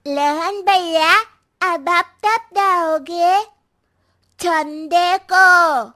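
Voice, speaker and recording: Sweet, stubborn little girl: tiny high voice, drawn-out childlike pleading. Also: bright, forceful tone, full character voice.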